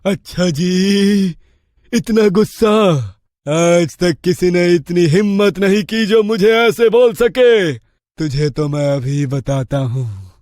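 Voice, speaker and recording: A man playing a menacing, swaggering bandit chief in a cartoon horror story, with a taunting, drawn-out, domineering delivery. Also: sits high, darkish tone, no echo, studio quality. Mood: menacing, gloating.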